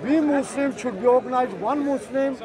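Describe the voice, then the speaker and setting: A man's voice: very high-pitched, normal speed, crowd noise. An older man debating outdoors in a park, insistent and repetitive in his questions. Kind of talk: street debate.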